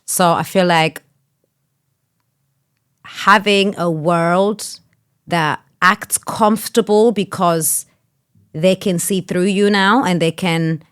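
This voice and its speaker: A woman's voice: fairly low pitch, steady tone, slow pace, long pauses, fairly bright tone, smooth. A woman hosting a podcast, thoughtful and searching for her words as she asks open questions. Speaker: podcast host. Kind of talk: casual podcast conversation.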